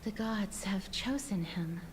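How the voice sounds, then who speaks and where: A woman's voice: mid-range voice, slow, background noise, natural voice. An actress playing a polite, deferential young lady in a video game, speaking carefully and formally. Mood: hesitant, respectful. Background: background music.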